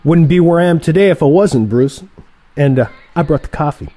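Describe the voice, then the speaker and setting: A man's voice: on the high side, brisk, mellow, close to an everyday voice. An actor playing a cocky younger male assassin who banters with teasing sarcasm in a comic audio play.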